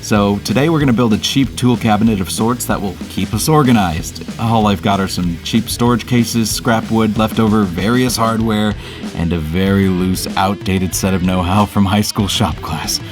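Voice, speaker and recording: A man's voice: lowish voice, moderate pace, over music. A man hosting a do-it-yourself video with casual, wry, self-deprecating voice-over narration.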